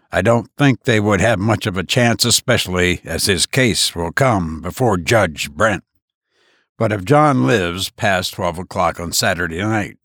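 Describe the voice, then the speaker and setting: A man reading an audiobook, voicing a worldly lawyer who speaks in a measured, cynical way. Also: sits low, a bit bright, dry recording, clean studio sound, full character voice. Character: calm, worldly middle-aged male lawyer.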